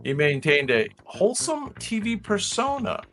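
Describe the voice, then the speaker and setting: A man's voice: high voice, sing-song, moderate pace, fairly bright tone, soft background music. A man hosting a casual live trivia show, reading out facts and adding chatty, rambling asides.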